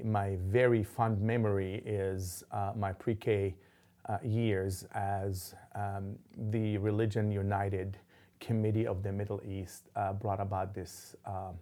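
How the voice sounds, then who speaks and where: A man's voice: lowish voice, warm tone. A middle-aged man from Lebanon, an imam speaking as a guest in an interview, with frequent ums and restarts. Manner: halting, many ums and restarts. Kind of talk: interview conversation.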